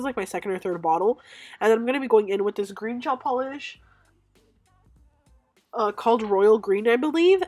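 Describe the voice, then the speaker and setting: A young woman with a congested, stuffed-up voice from being sick, chatting through a casual nail-tutorial voice-over at home, with lots of ums and self-corrections. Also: medium pitch. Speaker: nail art creator.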